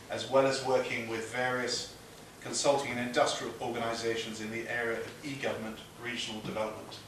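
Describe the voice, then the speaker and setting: A man's voice: medium pitch, a bit bright, slight background noise. Male moderator in an echoey hall. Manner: measured, formal introducing. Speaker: conference moderator. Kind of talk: speaker introduction. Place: large conference hall. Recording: echoey hall, mic far off.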